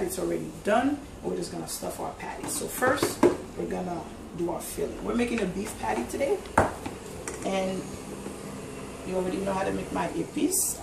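A woman's voice: on the low side, creaky. A woman hosting a casual cooking demonstration in her home kitchen, chatting and explaining each step as she cooks, recorded on a camera mic from across the room. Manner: chatty, instructive, talks while cooking. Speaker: cooking channel host. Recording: echoey kitchen, distant mic, background noise.

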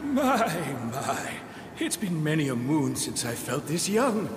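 Old spirit mentor for a game; mannered, very slow, lively pitch, noisy echoing backdrop. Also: male voice. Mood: merry. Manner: leisurely, mannered, genial. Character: merry, courteous ancient male goat-like spirit teacher. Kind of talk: video game cutscene acting. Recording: long echoing reverb, noisy background.

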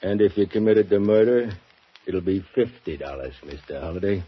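A sardonic hotel clerk with a husky, lowish voice who needles every guest with sly mockery. The old broadcast sound is dull and muffled. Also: male voice, animated, moderate pace. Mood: mocking. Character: sly sarcastic male desk clerk of a cheap hotel.

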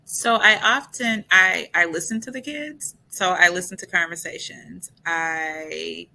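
Bright-voiced teacher woman, conversational. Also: on the low side, measured pace, vocal fry, low-quality recording. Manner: restarts, conversational. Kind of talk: casual panel answer. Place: indoor room. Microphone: webcam on video call.

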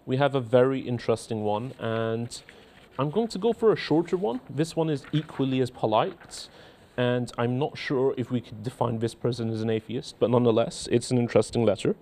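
A man in his thirties with a faint Scottish accent, a cleric in a TV studio reading out and answering viewer letters in a measured, earnest way. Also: mid-range voice, mellow. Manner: measured, earnest, repeats words when starting sentences. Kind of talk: studio talk show, reading letters aloud. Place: indoor TV studio.

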